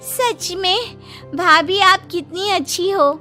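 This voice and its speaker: A woman's voice: very high-pitched, slow, pushed and bright, faint music behind, pitched above the performer's own voice, heavily acted. A woman voicing a cheeky, grabby young sister-in-law in a cartoon story, gushing and girlish. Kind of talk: animated cartoon story dialogue.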